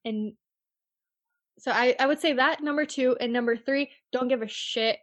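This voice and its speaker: A woman's voice: sits high, moderate pace, dry recording. Young woman chatting, dry close sound. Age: 20s. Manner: blunt, animated retelling with quoted asides and frequent "like". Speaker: real estate agent, guest. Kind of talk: casual chat, interview answers.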